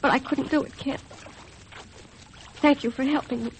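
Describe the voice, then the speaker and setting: A woman's voice: high-pitched, fairly even intonation, normal speed, close to an everyday voice, low-quality recording. An actress playing a fragile, apologetic young woman, timid and hesitant, in 1950s radio melodrama style. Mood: meek, wistful. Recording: old radio recording, faint noise.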